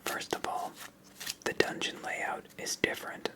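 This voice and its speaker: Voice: unhurried, low-quality recording. An ASMR host speaks entirely in a whisper. The delivery is a methodical card game walkthrough, explaining each move step by step.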